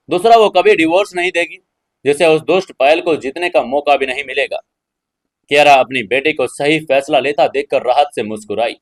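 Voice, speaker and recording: A man's voice: fairly high pitch, no room sound, rough recording. Male narrator of a dramatic serial.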